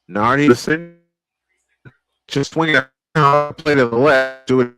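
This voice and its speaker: A man calling a baseball game live, unhurried, filling the pauses between pitches. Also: steady tone, pushed and bright, soft background music. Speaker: play-by-play baseball announcer. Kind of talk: live sports commentary.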